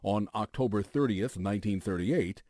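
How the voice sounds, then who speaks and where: A man's voice: fairly low pitch, moderate pace, mellow, dry recording, studio quality. An American man hosting a radio documentary, reading scripted narration as a news anchor would.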